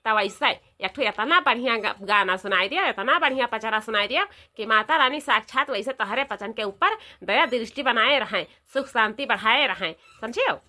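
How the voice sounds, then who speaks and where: A woman's voice: fairly high pitch, expressive, moderate pace, hardly any pauses, bright, forceful tone. A woman voicing a talkative, devout older village mother-in-law in a comedy cartoon, emphatic and folksy, repeating phrases in an eastern Uttar Pradesh accent. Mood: pleased, blessing.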